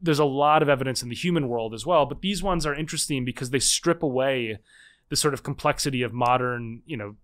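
A man in his thirties, a guest author explaining ideas in a podcast interview, animated and full of quick restarts. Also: mid-range voice.

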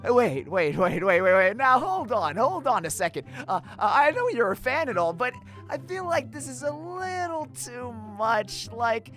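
Cartoon hedgehog hero, young male played by a woman, cocky but easily flustered, very animated stammering delivery, music underneath. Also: a little high, normal speed. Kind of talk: fan comic dub voice acting.

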